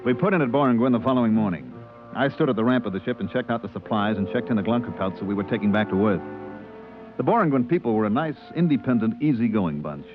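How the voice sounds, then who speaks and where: An American actor playing an easygoing, friendly spaceship purser, narrating and talking casually in 1950s radio drama style. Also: male voice, mid-range voice, lively intonation, fast talker, close to an everyday voice. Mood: plain narrating. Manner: casual, conversational, hesitant in dialogue. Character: easygoing, friendly male spaceship purser telling his story. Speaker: actor, first-person narrator and character. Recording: tinny band-limited old radio recording, music behind.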